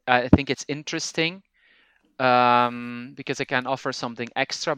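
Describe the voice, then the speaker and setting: A Flemish-accented man, a native Dutch speaker, talks English in an interview with a clean, resonant tone. The sound is close and dry, with no room in it. He explains at length and pauses to think as he goes. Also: medium pitch, bright, forceful tone, clear, resonant tone, no echo. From Belgium. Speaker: language teacher and podcaster, guest. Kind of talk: conversational interview.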